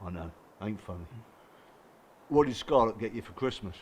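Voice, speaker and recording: An actor playing a blunt, bruised working-class support group regular in a radio drama, colloquial, sardonic and probing. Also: male voice, on the low side, animated, moderate pace, a little hiss or hum, lightly acted. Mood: joking, bitter. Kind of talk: naturalistic radio drama acting.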